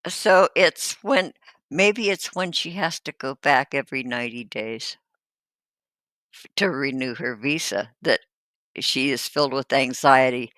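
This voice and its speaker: A woman co-host in a casual reaction chat, amused and chatty, with drawn-out asides. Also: very deep voice, a bit bright, no room sound.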